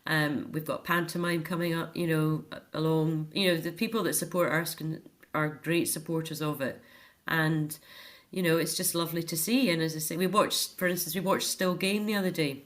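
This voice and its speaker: A woman's voice: sits low, steady tone, normal speed, few pauses. A middle-aged woman with a Scottish accent, chatting earnestly and telling anecdotes as a guest in an informal interview. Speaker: interview guest, author and care home activities volunteer or worker. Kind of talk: informal radio interview chat.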